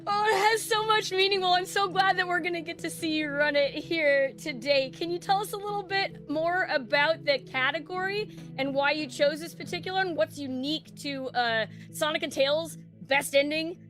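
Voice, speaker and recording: A woman's voice: high voice, moderate pace, hardly any pauses, fairly bright tone, soft background music. A woman hosting an interview, bubbly and encouraging as she asks her guest questions. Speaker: interview host.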